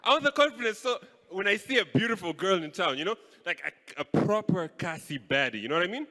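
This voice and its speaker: A young man from Kenya doing live stand-up comedy on a stage mic, playful and animated. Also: high voice, quick pace. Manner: animated, playful, switches voices. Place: stage in a large hall. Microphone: handheld stage mic. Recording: live hall with long reverb.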